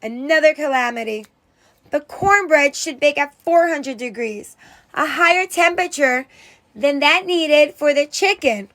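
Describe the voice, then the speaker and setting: A woman with a high-pitched voice reads a children's story aloud as a teacher would. Her narration is expressive, putting dramatic emphasis on key words and character moments. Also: a little slow.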